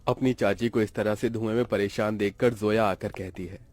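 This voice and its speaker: A man with a fairly low voice delivers scripted cartoon story narration. The sound is dry, with little room echo. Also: steady tone, normal speed, darkish tone.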